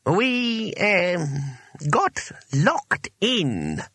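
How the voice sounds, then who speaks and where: A man's voice: high voice, big pitch swings, bright, forceful tone, dry recording, clean studio sound, pitched above the performer's own voice, exaggerated voice. A man voicing a haughty, pompous old male wizard schoolmaster in an audiobook story. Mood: reluctant, embarrassed. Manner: haughty, halting when embarrassed.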